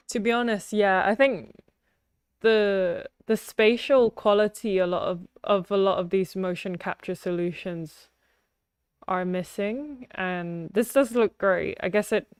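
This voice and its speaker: A woman hosting a casual Q&A on a video call, encouraging and a little halting. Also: mid-range voice, clear, resonant tone, no room sound, studio quality. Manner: encouraging, hesitant restarts. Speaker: host. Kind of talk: casual Q&A chat.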